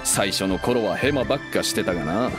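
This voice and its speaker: A man's voice: lowish voice, animated, brisk, over music, close to an everyday voice. A man playing a friendly, proud young merchant in an anime game, with casual, easygoing speech. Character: friendly self-made young male merchant, nostalgic and proud. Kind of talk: Japanese anime game voice acting.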